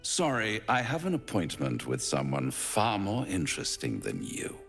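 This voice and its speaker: An actor playing a suave, pompous devil in a video game, teasing and menacing in a florid, silky delivery. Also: male voice, low voice, wildly swooping pitch, moderate pace, creaky, fairly bright tone, in a large hall, soft background music, full character voice. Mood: mocking menace. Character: suave, theatrical male devil, smug, menacing tempter. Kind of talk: theatrical video game acting.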